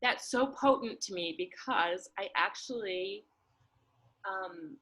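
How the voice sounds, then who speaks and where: A woman speaks at an unhurried pace, with a medium pitch. She is thoughtful and somewhat halting, feeling her way through ideas as in a reflective, casual conversation. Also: bright, forceful tone. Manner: halting, thoughtful, searching for words.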